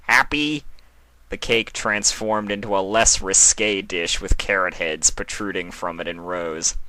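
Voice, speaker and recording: A man reading scripted fan fiction as the narrator, in an expressive storytelling style. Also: sits low, unhurried, rough recording.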